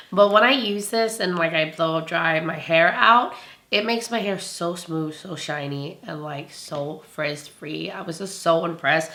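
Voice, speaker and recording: A young woman with a hoarse voice from laryngitis, gushing about beauty products in a bubbly, casual review. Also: on the low side, fairly bright tone. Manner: bubbly, gushing, laughs often. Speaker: beauty vlogger.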